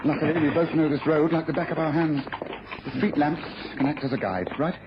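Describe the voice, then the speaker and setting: An actor playing a shrewd, composed British detective in a mid-century radio drama, deliberate and authoritative. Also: male voice, fairly high pitch, lightly acted. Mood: grave, brisk. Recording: muffled, noisy old radio broadcast.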